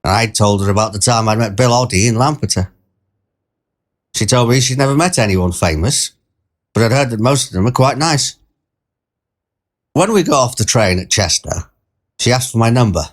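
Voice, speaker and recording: A man's voice: medium pitch, normal speed, long pauses. A man narrating a first-person short story in a conversational, wry and reflective way. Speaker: storyteller, performer.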